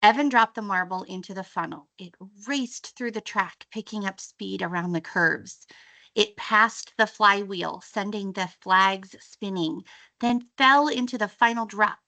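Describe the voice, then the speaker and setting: Lady reading a kids book out loud, really bright forceful voice, expressive. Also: mid-range voice, unhurried, poor audio. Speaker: teacher reading a book aloud. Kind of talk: expressive children's audiobook-style story reading.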